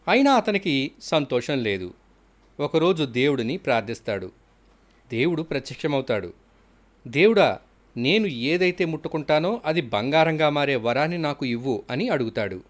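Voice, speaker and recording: A man's voice: mid-range voice, fairly bright tone. Male storyteller, middle register, for scripted narration of children's moral stories.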